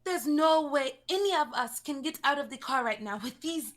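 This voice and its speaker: A woman plays a panicky, disbelieving young woman in a drama. Her voice is very high and piercing, with animated swings in pitch. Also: moderate pace. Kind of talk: dramatic script reading.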